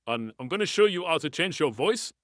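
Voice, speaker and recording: A man's voice: a little high, expressive, fairly quick, a bit bright, dry recording, studio quality, pitched above the performer's own voice. A man tutorial host talking through an app voice changer filter, demonstrating the effect in a breezy, explanatory way. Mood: cheerful.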